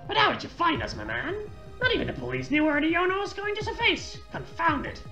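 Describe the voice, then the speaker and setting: A woman puts on a smug male game villain, pitched well above her own voice. He is oily and sinister, mocking in a sing-song that swoops widely. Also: very animated, brisk, pushed and bright, highs cut off, soft background music, heavily acted. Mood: surprised, smug.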